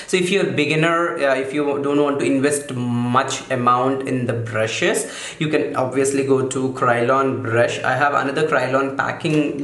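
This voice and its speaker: A man calmly walking through makeup brushes in a casual vlog, softening his voice on purpose and often stopping to correct himself with fillers. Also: mid-range voice, roomy sound. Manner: halting, many fillers and self-corrections; deliberately softened, soothing delivery. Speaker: makeup vlogger. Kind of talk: casual product walkthrough.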